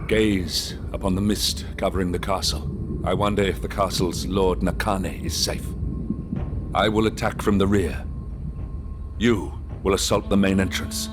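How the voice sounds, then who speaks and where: Low-pitched, dutiful samurai tactician. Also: male voice, fairly even intonation, a little slow. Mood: measured, tactical. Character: shrewd, dutiful samurai retainer and battle tactician. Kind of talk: video game cutscene acting. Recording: music under the voice.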